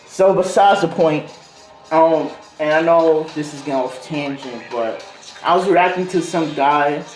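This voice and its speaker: A man's voice: fairly high pitch, measured pace. A man telling a story casually in slangy, rambling speech, improvising as he goes and drifting into tangents. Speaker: video host. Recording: roomy echo, music behind voice. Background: music beat.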